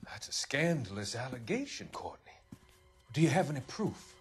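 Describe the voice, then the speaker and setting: An actor plays a middle-aged male doctor from a period crime game. He speaks formally and with measured condescension, quietly sinister and in control. Faint music sits behind his mid-pitched voice. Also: sing-song, natural voice. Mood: evasive.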